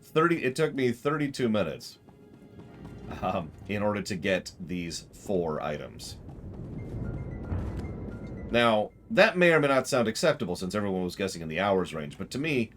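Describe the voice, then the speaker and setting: A man's voice: lowish voice, big pitch swings, moderate pace, pauses often, music in the background. A man streaming a video game with casual, wry and emphatic commentary. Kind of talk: casual live gaming commentary.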